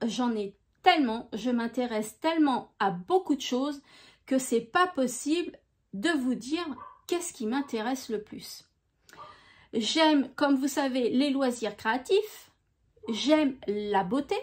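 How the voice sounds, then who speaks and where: A woman from France hosting her own channel, chatting gently through viewer questions with many small hesitations. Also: high voice. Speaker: YouTube crafts channel host. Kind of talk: casual FAQ video answering viewer questions.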